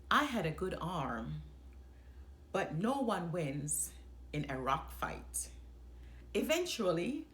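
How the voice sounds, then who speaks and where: A woman reading a children's book aloud in an expressive storytelling style, in short, deliberate phrases with pauses for emphasis. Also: medium pitch. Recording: roomy, echoing room sound.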